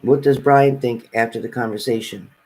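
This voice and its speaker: A man's voice: medium pitch, fairly even intonation, normal speed, a little mellow, rough recording. A man hosting a casual live question-and-answer stream, giving spirit readings and chatting with viewers.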